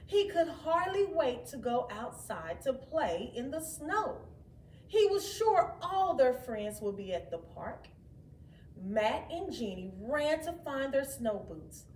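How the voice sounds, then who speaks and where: A woman with a very high voice narrates a children's read-aloud slowly and expressively. She is recorded in an echoey room, away from the mic. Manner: expressive, deliberate. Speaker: storyteller. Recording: echoey room, distant mic, faint noise.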